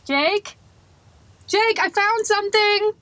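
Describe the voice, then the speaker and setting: A woman's voice: very high-pitched, takes it slowly, a bit bright, slight background noise, heavily acted. A woman acting a searching character in a paranormal audio drama. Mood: urgent calling out.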